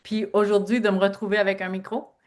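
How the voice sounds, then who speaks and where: A woman's voice: medium pitch, level tone, quick pace. Earnest middle-aged Québécois woman. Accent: Québécois French. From Quebec. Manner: earnest storyteller, leans on filler phrases. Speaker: guest, speaker and author. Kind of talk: personal interview talk.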